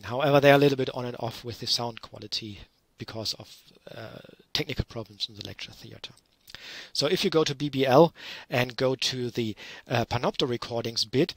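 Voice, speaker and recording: A middle-aged man from Germany with a German accent gives a relaxed online lecture from home, using lots of fillers. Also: medium pitch, no echo. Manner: relaxed, explanatory, with frequent fillers. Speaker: university lecturer. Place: small room at home, curtain behind. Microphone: headset.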